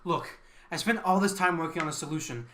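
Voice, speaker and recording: A man's voice: a little high, animated, fairly quick, bright, forceful tone, slight background noise. A man acting as an ambitious, resentful mathematician in a radio play. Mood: triumphant, combative. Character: ambitious, resentful male mathematician scientist eager for promotion.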